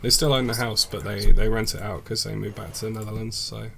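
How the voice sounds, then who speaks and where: A young English man streaming a game, chatting with viewers in a relaxed, rambling way full of fillers. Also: fairly low pitch, brisk, creaky, slight background noise. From England. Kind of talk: casual stream chat with viewers. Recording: faint background music.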